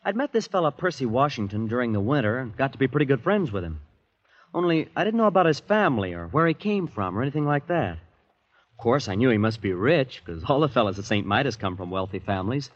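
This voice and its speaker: Eager, wide-eyed youth, old radio. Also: male voice, medium pitch, big pitch swings, quick pace. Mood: reminiscing. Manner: folksy, chatty, wide-eyed. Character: impressionable, eager twenty-year-old Missouri prep school boy. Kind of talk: 1940s radio acting, first-person narration and dialogue. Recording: dull old radio recording with music.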